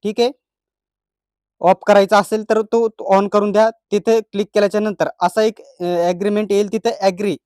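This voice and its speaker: A man's voice: high-pitched, a little mellow, no echo, clean studio sound. A man with a Marathi accent presents a step-by-step phone tutorial, instructing plainly and repeating each step.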